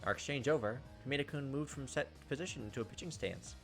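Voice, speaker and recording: A man's voice: mid-range voice, quick pace, creaky, faint music behind. A man hosting a gameplay video, reading a visual novel aloud as dramatized, playful narration.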